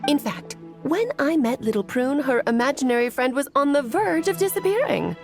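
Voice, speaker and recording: A playful, scheming witch mother with a fairly high, very animated voice that often drops into creak. She speaks eloquently and teasingly, in polished game-cutscene style with soft music behind. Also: normal speed. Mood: measured, explanatory. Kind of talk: video game cutscene acting.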